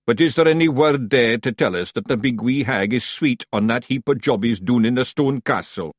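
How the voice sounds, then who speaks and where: Man doing a gruff Scottish fairy brute. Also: medium pitch, moderate pace, no echo, studio quality, pitched above the performer's own voice, natural voice. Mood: grumbling. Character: big gruff male fairy warrior.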